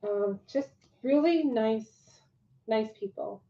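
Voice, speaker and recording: A woman's voice: a little high, slow pace, warm tone. A woman chatting casually as a livestream co-host, her voice wearing out from allergies. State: losing voice from allergies. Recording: dull lo-fi livestream audio.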